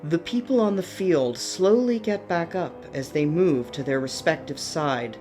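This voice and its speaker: A woman's voice: deep voice, measured pace, a little mellow, faint music behind. A woman narrating a scripted fantasy radio play with a dramatic storytelling manner.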